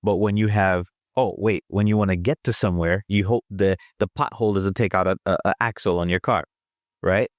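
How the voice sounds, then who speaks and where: A man on a roundtable panel, explaining in a measured way and listing his points. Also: deep voice, steady tone, no echo, clean studio sound. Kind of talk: roundtable discussion.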